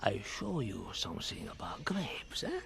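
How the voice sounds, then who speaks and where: A gravelly old French grape farmer. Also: male voice, fairly low pitch, huge pitch swings, normal speed, full character voice. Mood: gentle. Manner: warm coaxing, often hushed to a whisper. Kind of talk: 1950s radio drama acting. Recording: hissy old radio recording.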